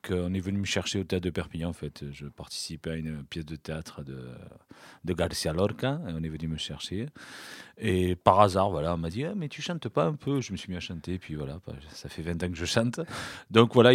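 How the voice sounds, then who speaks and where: A man's voice: low voice, no room sound. A man around forty, a singer-songwriter guest in a small studio, talking casually and hesitantly with many restarts. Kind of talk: casual interview talk.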